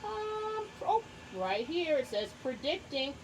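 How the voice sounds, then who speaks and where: A woman teacher reading a book aloud to young children, encouraging them and pausing to ask questions and explain things simply. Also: sits high, sing-song, noisy background. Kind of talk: read-aloud lesson with asides and questions to children.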